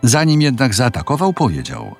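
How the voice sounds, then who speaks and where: A man narrating a scripted fairy tale in a measured, dramatic storytelling manner. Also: mid-range voice, huge pitch swings, faint music behind.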